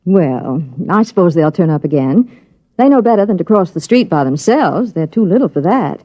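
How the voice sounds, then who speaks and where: A woman's voice: lowish voice, animated, moderate pace, no room sound. An actress playing a friendly young mother next door in a 1950s radio drama. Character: neighborly young mother, mildly worried. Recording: dull old radio recording.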